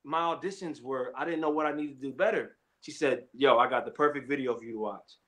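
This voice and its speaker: A young adult man from Kenya, an actor guest, telling his story in a relaxed, slangy way. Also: medium pitch, fast. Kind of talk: casual interview chat.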